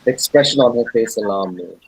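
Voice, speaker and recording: A man reading a novel aloud as an actor, in a deliberate, earnest, dramatic narration. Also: lowish voice, creaky, husky, poor audio.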